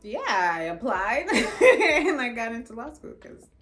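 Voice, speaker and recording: A young adult woman, a lawyer from Los Angeles whose first language was Jamaican Patois, tells her own story in a casual, expressive way and acts out the lines of people she quotes. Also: a little high, unhurried, soft background music. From Los Angeles, California. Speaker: attorney. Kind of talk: personal storytelling.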